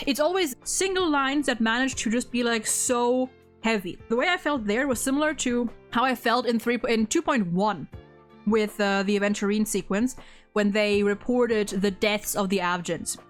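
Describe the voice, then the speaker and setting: A woman's voice: fairly high pitch, moderate pace, faint music behind. A woman in a casual discussion of a video game, talking emphatically and swearing freely. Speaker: host.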